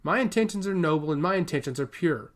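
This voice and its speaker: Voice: medium pitch, animated, fast, natural voice. Arrogant young envoy, lilting formal threats , read by a narrator. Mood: cold menace. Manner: imperious, formal, coolly threatening. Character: arrogant young male envoy of a rival duchy.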